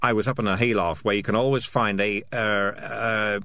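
A man's voice: fairly low pitch, steady tone, normal speed, sharp tone. Man doing a shifty, bluffing storybook tomcat. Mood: uneasy. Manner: hesitant, bluffing, stammering excuses. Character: shifty, evasive, self-serving male talking cat.

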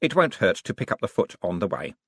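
A man's voice: low voice, big pitch swings, fairly quick, fairly bright tone, slightly rough, no room sound, clean studio sound, exaggerated voice. A man voicing a friendly, flustered young wizard scholar in an audiobook, modest but bookish, hesitating and stammering with many ums. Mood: hesitant.

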